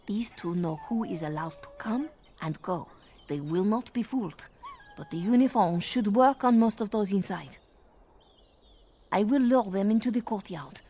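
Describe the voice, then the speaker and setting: An actress playing an anxious, scheming elven handmaid in a video game. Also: female voice, medium pitch, normal speed, mellow, slight background noise, lightly acted. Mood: cautious, urgent. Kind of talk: video game cutscene acting.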